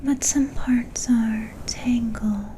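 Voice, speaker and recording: A woman performs a mysterious vampire seeress in a whispered ASMR fantasy roleplay. Her breathy whisper is dark and soft, with slow, deliberate phrasing that stays even and ominous. Faint noise sits behind the voice. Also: a little high. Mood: mystical, soothing.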